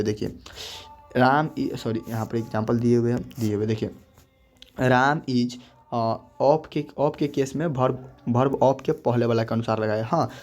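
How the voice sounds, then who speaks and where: A young man walks through a grammar lesson at a moderate pitch. He repeats his points and stretches out each example phrase slowly. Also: a little mellow. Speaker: teacher.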